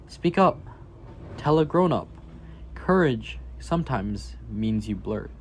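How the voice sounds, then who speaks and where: A man reads a children's picture book aloud at an unhurried, slow pace. His voice sits in a middle register, and he lifts it emphatically on key phrases for an expressive storytime delivery. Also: medium pitch, slight background noise. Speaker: reader for a children's reading program.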